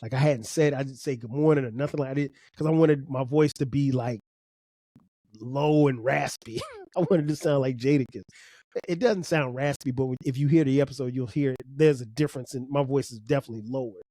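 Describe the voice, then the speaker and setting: Slightly nasal South Carolina man, mellow. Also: medium pitch, fairly quick, dry recording. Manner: rambling, conversational, many fillers and restarts. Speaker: podcast host. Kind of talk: casual solo podcast monologue.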